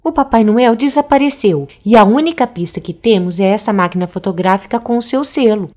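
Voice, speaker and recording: A woman's voice: mid-range voice, fairly quick, dark tone, natural voice. A woman with a Brazilian accent voicing a confident, inquisitive young witch detective in a children's cartoon. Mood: assured.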